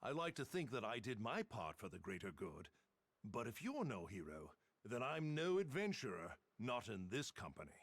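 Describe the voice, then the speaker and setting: A man's voice: fairly low pitch, big pitch swings, normal speed, no echo. A male actor playing a hearty, wry adventurer in a video game, teasing with theatrical emphasis. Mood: modest, earnest. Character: hearty adventurer, old friend and rival of a general.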